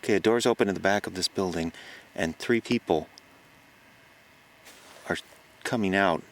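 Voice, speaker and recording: An actor playing a frightened reporter recording himself on a secret night stakeout in a horror audio drama, halting and stammering. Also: male voice, low voice. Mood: tense, watchful. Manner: halting, stammering, gasping exclamations. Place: outdoors on a farm. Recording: noisy outdoor location recording.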